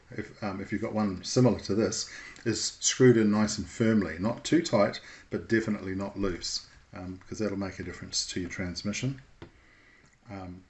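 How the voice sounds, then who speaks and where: A man giving an informal instructional talk in a measured, explanatory way with hesitant pauses. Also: lowish voice.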